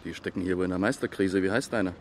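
A man acting a laconic, wry colleague in a 1970s East German radio crime drama, speaking in a deadpan way. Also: deep voice, rapid-fire, a little hiss or hum, close to an everyday voice. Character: laconic, wry male colleague making small talk. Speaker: actor.

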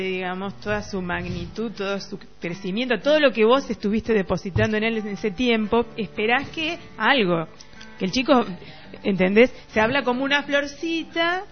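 Female radio host, middle-aged, Rioplatense accent, reflective and halting, with music playing behind a muffled recording. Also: mid-range voice. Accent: Rioplatense Argentine Spanish. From Argentina. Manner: halting, searching for words. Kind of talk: casual reflective radio discussion.

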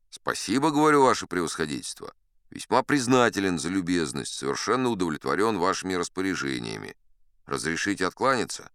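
A man's voice: sits low, a bit bright, no room sound, studio quality, exaggerated voice. A man playing an older sea captain who spins a yarn in a dramatized audiobook, wry, boastful and conversational. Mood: courteous, proud. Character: old sea captain storyteller, folksy, boastful, dignified.

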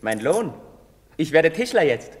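An earnest young apprentice in a stage drama. He speaks slowly and dreamily, with lively swings of pitch, in a long-ringing hall. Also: slight background noise. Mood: wary, defiant. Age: young adult. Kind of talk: theatrical stage acting. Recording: reverberant theatre hall.

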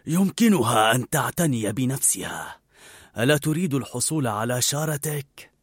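Grumpy old man, stubborn, curt gruff delivery, lowered slow voice, put on by a storyteller, storybook reading. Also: animated, dry recording, clean studio sound.

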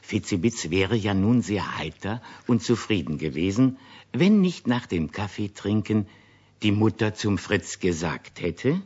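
A man narrating a children's radio play as a storyteller, with a husky voice. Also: fairly low pitch, very animated, a little slow, a little mellow, heavily acted. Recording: dull old radio recording.

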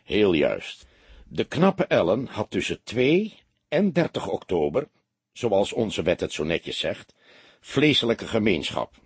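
A man voicing an older, experienced police detective in an audiobook, a patient mentor who explains deliberately with mild reproach. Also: fairly low pitch, sing-song, breathy edge, no echo, exaggerated voice. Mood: lecturing. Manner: didactic, deliberate, mildly reproachful. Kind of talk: audiobook character dialogue.

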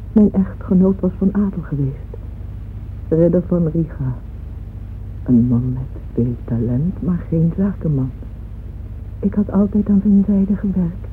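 An actress playing a wealthy, lonely middle-aged widow in a radio drama, yearning and confiding in a halting, intimate way. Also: female voice, fairly low pitch, lightly acted. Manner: hesitant, halting, confiding, at times near whispering. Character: wealthy lonely widow, wistful and yearning, addressing her absent beloved. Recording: muffled phone-like old radio recording, faint noise.

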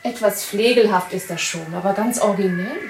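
An actress playing a dry, sceptical, restless new bride in a German radio play, wry and brusque. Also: female voice, medium pitch, brisk, background noise, close to an everyday voice. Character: newly married woman, dry, sceptical, restless. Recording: roomy, faint music behind.

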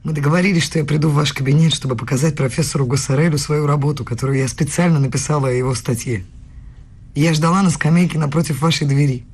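Husky, challenging young woman. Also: low voice, fast, a little mellow. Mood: hurt, accusing. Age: young adult. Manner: pointed, challenging. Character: proud, wounded young woman student, professor's lover. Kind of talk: radio play acting.

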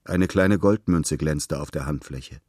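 A man's voice: a bass voice, measured pace, a little mellow, slightly rough, no room sound, studio quality. A man reading scripted literary narration as a storyteller.

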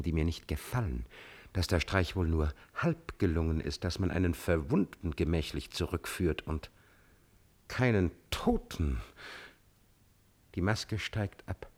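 An actor playing a cunning, servile and coldly ambitious chamberlain in a classical drama, with an insinuating, theatrical delivery and a slightly husky voice. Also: male voice, sits low, darkish tone, exaggerated voice. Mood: watchful, brooding. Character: scheming male court chamberlain, servile, cunning, cold-bloodedly ambitious. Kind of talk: German classical stage drama acting.

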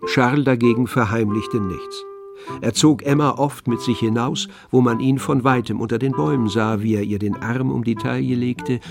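A man's voice: mid-range voice, steady tone, normal speed, vocal fry. A man narrating a literary German radio drama as a scripted storyteller. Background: music.

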